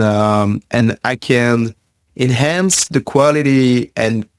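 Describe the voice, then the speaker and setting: A man from Belgium answering interview questions in a thoughtful, halting way, with frequent fillers and repeated words. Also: medium pitch, dry recording, studio quality. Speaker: podcast guest, science communicator.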